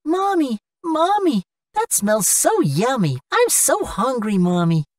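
Woman voicing a sweet, highish cartoon little boy. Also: big pitch swings, slow pace, darkish tone, no room sound, studio quality, far from the mic, heavily acted. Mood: eager. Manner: childlike, affectionate. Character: sweet, eager little boy who adores his mother. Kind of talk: English-language cartoon acting.

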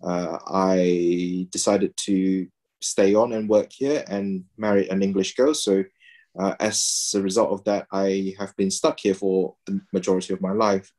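A man in his thirties from Malaysia talks with a very low, deep voice. He pauses often and fills gaps with "uh" as he explains things on a video call from a room at home. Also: fairly even intonation, darkish tone. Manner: hesitant, many fillers and restarts. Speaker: guest, pediatrician and game player. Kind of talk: casual video-call interview.

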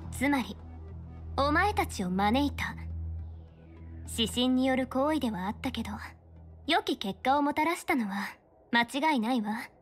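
An actress playing a stern young fortune-teller official in a game, speaking in a formal, measured, authoritative way. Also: female voice, sits high, lively intonation, faint music behind. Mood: analytical, composed. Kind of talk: scripted game character dialogue in Japanese dub.

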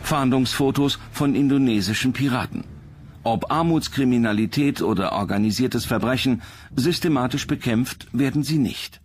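A man's voice: mid-range voice, normal speed. Male documentary narrator over music.

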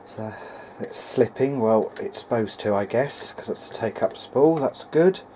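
A man's voice: medium pitch, lively intonation, measured pace, mellow, a little hiss or hum, poor audio. A man thinking aloud in a hesitant way as he gives casual hands-on repair commentary at an indoor workbench. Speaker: hobbyist repairer presenting a video diary.

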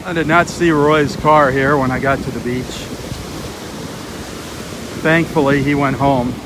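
A man's voice: medium pitch, fairly even intonation, a little slow. Man narrating a walk on a windy beach. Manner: dismayed, earnest narration while walking. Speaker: beach walk video host. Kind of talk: live walking vlog commentary. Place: outdoors on a windy beach by the surf. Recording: wind and surf noise on the mic. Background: crashing waves and wind.

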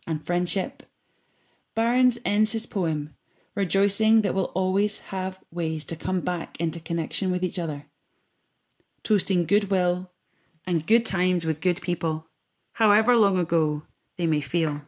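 A woman reading a scripted, reflective piece with poetry for a community gathering in a measured, earnest way. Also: fairly low pitch, a little mellow.

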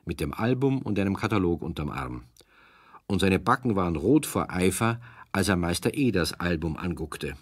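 Deep, husky male storyteller. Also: lively intonation, normal speed, dry recording, studio quality. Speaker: narrator. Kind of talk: scripted children's story narration.

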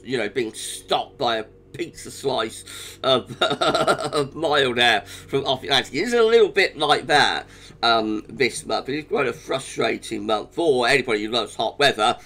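A man with a British accent, croaky today, giving a chatty weather forecast over charts with frequent little laughs. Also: medium pitch, expressive, moderate pace, pushed and bright. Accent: British English. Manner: chatty, laughs often, restarts.

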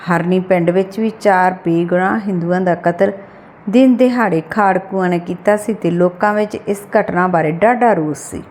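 A woman's voice: medium pitch, a little mellow. A woman reading a scripted short story aloud as an audiobook narrator.

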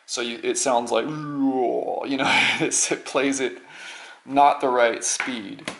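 A middle-aged man giving a casual unscripted show-and-tell, halting and rambling, often repeating words as he goes. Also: medium pitch, creaky. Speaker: vlogger.

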